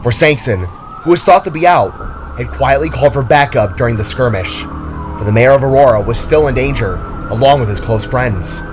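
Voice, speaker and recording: A male storyteller with a medium-pitched voice narrates dramatic fantasy action from a script. Faint music sits behind the voice. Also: brisk.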